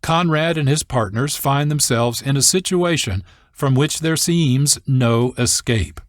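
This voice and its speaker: A man hosting a radio program, reading scripted, explanatory narration in a measured way. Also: medium pitch, no room sound, clean studio sound. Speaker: radio show producer and host.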